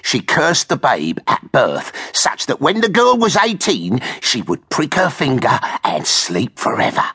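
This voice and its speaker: Fat-faced tavern gossip, gravelly, husky, animated, blustering. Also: male voice, mid-range voice, measured pace, heavily acted. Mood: dismissive. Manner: blustering, opinionated.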